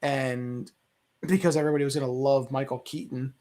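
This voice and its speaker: A man's voice: mid-range voice, fairly even intonation, a little fast, mellow. A man on a panel chatting casually and with firm opinions about films, building his arguments with rhetorical questions. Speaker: panelist on a movie discussion show. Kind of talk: casual opinionated film chat.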